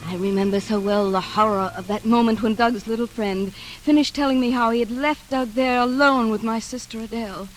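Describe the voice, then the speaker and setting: A woman's voice: fairly high pitch, steady tone, a little fast, few pauses, a little hiss or hum, natural voice, poor audio. An actress playing an anxious, insistent mother in a 1940s radio drama, speaking with earnest, precise, pleading delivery. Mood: haunted recollection. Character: anxious, insistent mother and sister, recalling horror.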